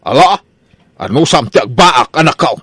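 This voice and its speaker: A man's voice: medium pitch, big pitch swings, normal speed, music in the background, lightly acted. A man with an Ilocano accent playing an exasperated, scolding old father of grown sons in a comedy radio drama.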